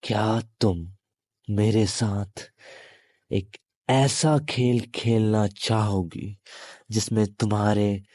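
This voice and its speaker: A man playing a menacing, possessive demon in an intimate audio roleplay, taunting the listener in a halting delivery with long pauses. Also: lowish voice, steady tone, a little mellow, no echo, clean studio sound. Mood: calm menace. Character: menacing, possessive male demon, captive turning predator.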